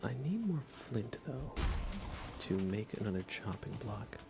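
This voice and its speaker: A soft-spoken man rambling through relaxed ASMR live gaming commentary, musing aloud hesitantly and often slipping into a whisper. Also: lowish voice, measured pace, gravelly, music in the background. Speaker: livestream game streamer.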